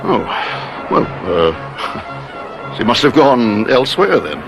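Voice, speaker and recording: An actor playing a scheming, evasive gentleman who hides a cold murderer, in an old radio mystery style. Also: medium pitch, very animated, takes it slowly, music in the background. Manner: blustering, evasive, swinging from indignant to coldly menacing.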